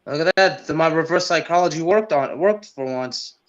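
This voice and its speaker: A man's voice: a little high, animated, normal speed. A man co-hosting a sports podcast, giving casual commentary on games. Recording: dull, lo-fi sound.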